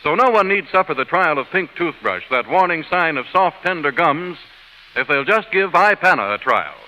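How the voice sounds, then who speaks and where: In a long reverb, a stern, earnest judge for an old radio advertisement. The voice is forceful, very bright and somewhat high, with declamatory delivery. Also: male voice, lively intonation, moderate pace. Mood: earnest, selling. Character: stern courtroom judge turned earnest toothpaste pitchman. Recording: reverberant 1930s radio broadcast.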